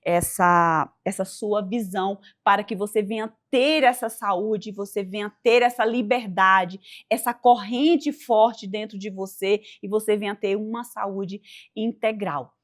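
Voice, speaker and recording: A woman's voice: mid-range voice, fairly even intonation, measured pace. A woman hosting a faith-based interview, enthusiastic and preacherly, often exclaiming "uau" at her guest's answers. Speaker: host.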